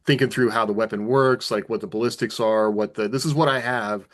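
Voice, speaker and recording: Man, lowish pitch, casual panel talk, enthusiastic, thinks out loud. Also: steady tone, a little fast. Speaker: podcast co-host and author. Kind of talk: casual panel discussion about writing.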